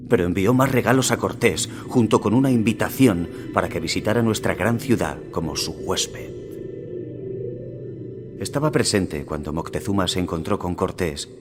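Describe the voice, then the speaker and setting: Game cutscene narrator: grave Aztec warrior, low-pitched, solemn storytelling, Castilian accent, music underneath. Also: male voice. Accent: Castilian Spanish. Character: grave Aztec jaguar warrior recounting his empire's fall. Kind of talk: scripted dramatic narration, storytelling.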